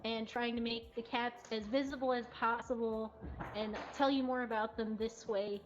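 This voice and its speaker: A woman's voice: on the high side, steady tone, normal speed, lo-fi sound. A woman hosting a live showcase, chatting casually to viewers. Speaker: cat cafe livestream host.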